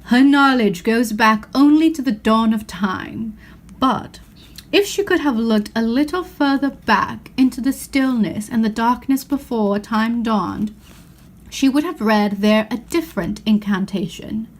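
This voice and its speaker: A woman reading as a great storybook lion, majestic and solemn. The speech is measured and grand, with a gentle nobility in every pronouncement. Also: on the high side. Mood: solemn, explaining.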